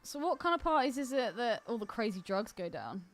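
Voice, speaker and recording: A woman's voice: a little high, very animated, brisk. A woman co-hosting a podcast, chatting casually with blunt, teasing interjections. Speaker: podcast co-host. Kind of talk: casual chat, short interjections.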